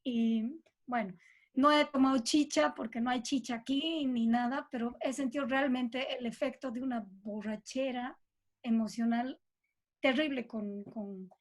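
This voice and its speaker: A middle-aged Bolivian woman with a Bolivian accent talks reflectively and informally as an interviewed composer, thinking aloud in an expressive way. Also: a little high, unhurried.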